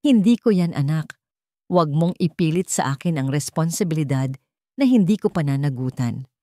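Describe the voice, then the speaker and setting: A woman's voice: low voice, animated, moderate pace, mellow, dry recording, clean studio sound. A woman voicing a cold, commanding, contemptuous ex-husband in an audio romance story. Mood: harsh, dismissive.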